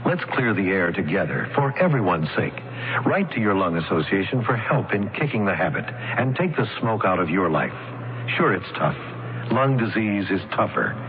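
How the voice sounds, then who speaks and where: A man's voice: fairly low pitch, big pitch swings, normal speed, slight background noise. A man reading an earnest scripted public service appeal. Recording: phone-like radio broadcast, music behind. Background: music.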